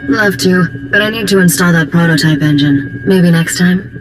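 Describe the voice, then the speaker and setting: A woman's voice: fairly low pitch, moderate pace, over music, close to an everyday voice. An actress playing a breezy, self-assured female starship mechanic in a video game, playful, flirtatious and poised. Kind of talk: video game character acting. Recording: long reverb, faint background noise.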